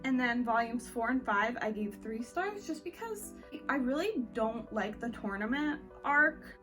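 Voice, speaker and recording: A woman giving a casual, chatty review as a vlog host, speaking informally with "like" sprinkled through her sentences. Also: on the high side. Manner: informal, peppered with "like". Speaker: book reviewer hosting a reading wrap-up vlog. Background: background music.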